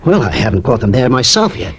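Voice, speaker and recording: An actor playing a slangy, shifty, money-minded private detective in a 1950s radio crime drama. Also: male voice, medium pitch, very animated, fast talker, a bit bright, husky, heavily acted. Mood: evasive, haggling. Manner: wisecracking, slangy tough-guy talk. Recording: old radio recording.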